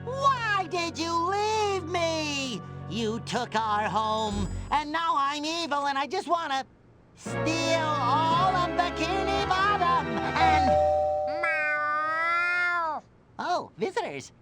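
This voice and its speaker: A woman's voice: sits high, slow pace, pauses often. A woman voicing a childish, self-declared evil hermit in a cartoon game, gleeful and theatrical, with boastful asides. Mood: pouty evil. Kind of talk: video game cartoon voice acting. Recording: music behind.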